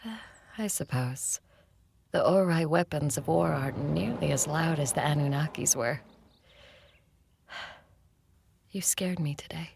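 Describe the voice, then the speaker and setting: An actress playing an ancient female vampire conqueror in an audio drama, speaking in a hushed, husky, creaky voice with a dry, tender, teasing manner. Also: deep voice, unhurried, pauses often, slight background noise. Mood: tender, subdued. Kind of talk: intimate audio drama acting and first-person narration.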